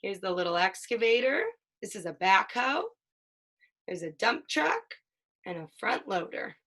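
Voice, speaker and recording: A mother reading a children's picture book aloud, with sing-song storytelling and drawn-out sound words. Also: medium pitch, animated, sharp tone. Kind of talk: children's read-aloud with questions.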